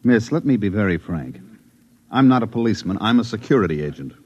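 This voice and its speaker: An actor playing a firm government security agent who interrogates calmly and persistently, in a 1950s radio drama. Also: male voice, lowish voice, fast, husky, highs cut off. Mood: interrogating. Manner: calm, pressing interrogation.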